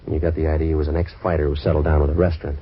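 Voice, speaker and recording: An actor playing a wisecracking waterfront boat-renter turned private eye, narrating in hard-boiled first person. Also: male voice, very deep voice, flat delivery, rapid-fire, breathy edge. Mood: wry. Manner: dry, sardonic wisecracks. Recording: dull old radio recording with faint hiss.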